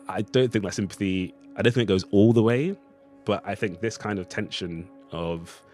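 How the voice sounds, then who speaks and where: A man speaking as an interview guest, reflecting on his creative work in a hesitant, thoughtful, hedging way. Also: low-pitched. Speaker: playwright and artist, interview guest.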